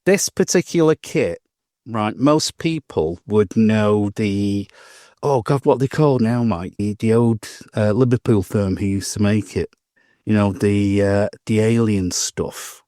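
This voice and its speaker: A man's voice: fairly low pitch, measured pace, mellow, dry recording, clean studio sound. A man co-hosting a modelling podcast, telling shopping stories in a chatty, rambling way. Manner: chatty storytelling with laughs and restarts. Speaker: podcast co-host. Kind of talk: casual chat.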